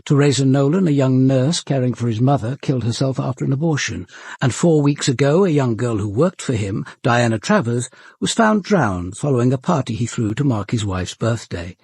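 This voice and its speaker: An actor playing a grave, authoritative senior police detective in a British radio drama, speaking in a measured, articulate British accent with dry understatement and a husky voice. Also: male voice, medium pitch, animated, a little mellow, dry recording. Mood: measured, reflective. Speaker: lead actor and narrator. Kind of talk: radio drama acting and narration.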